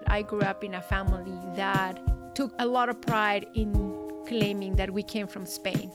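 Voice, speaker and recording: A woman's voice: mid-range voice, fairly even intonation, moderate pace. Woman telling her story over music. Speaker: writer and journalist. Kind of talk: personal storytelling and poetry reading.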